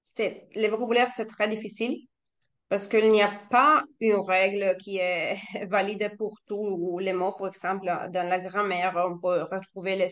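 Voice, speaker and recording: A woman's voice: mid-range voice, flat delivery, measured pace, fairly bright tone. A woman with an Italian accent, a native Italian speaker talking in French as a learner, hesitant with frequent restarts and laughs. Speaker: language learner, guest. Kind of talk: casual conversation.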